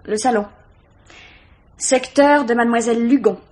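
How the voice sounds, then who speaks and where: An actress playing a guarded, disdainful young student, curt and defensive, in a 1950s French radio mystery. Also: female voice, on the high side, moderate pace, a little mellow, close to an everyday voice. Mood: cool, distant.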